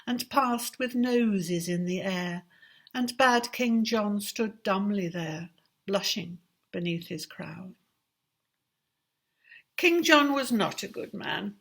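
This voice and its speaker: A woman with an English accent reciting storybook verse expressively, with measured, dramatic phrasing. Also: mid-range voice, gravelly, a bit bright. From England. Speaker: poetry reader.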